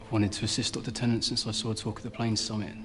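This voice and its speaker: A man's voice: lowish voice, level tone, rapid-fire, music in the background, lightly acted. A man playing an earnest, studious research doctor in a screen drama. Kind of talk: naturalistic screen drama acting.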